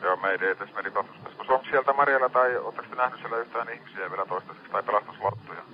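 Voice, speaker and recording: Finnish man, lowish voice, rescue coordination operator, heard over a muffled radio channel. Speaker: maritime rescue center operator. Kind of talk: radio dispatch. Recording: dull band-limited radio channel.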